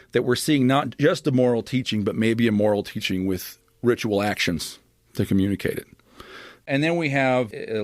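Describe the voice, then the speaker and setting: A man on a podcast as a guest, explaining scripture in an animated, expressive teaching manner with frequent restarts. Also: on the low side, dry recording, clean studio sound.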